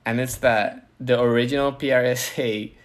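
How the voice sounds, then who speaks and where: A young man with a Puerto Rican Spanish accent, speaking at a mid pitch. He talks in a relaxed, storytelling way and laughs easily. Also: moderate pace. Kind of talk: casual conversation.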